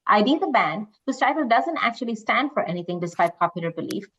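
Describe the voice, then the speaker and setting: A woman's voice: medium pitch, animated, fast, fairly bright tone, highs cut off. A woman reading quiz questions and moderating casually as host.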